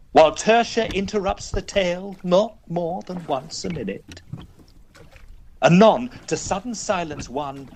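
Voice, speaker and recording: A man reciting verse in a theatrical, measured way, as an expressive poetry reader. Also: sits high, slight background noise.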